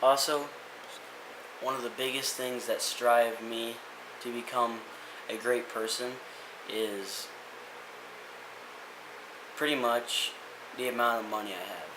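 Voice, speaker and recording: A man's voice: mid-range voice, fairly even intonation, slow, pauses often. A young man giving a casual, rambling motivational rant as a vlogger, earnest and full of restarts. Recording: echoey room with hiss.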